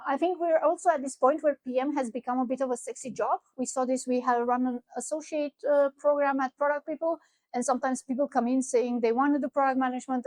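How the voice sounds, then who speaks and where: A woman's voice: sits high, darkish tone, no room sound. A middle-aged woman from Romania with a Romanian accent, giving a conference keynote in a conversational way, with frequent fillers and restarts. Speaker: keynote speaker. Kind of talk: conference talk. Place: conference venue.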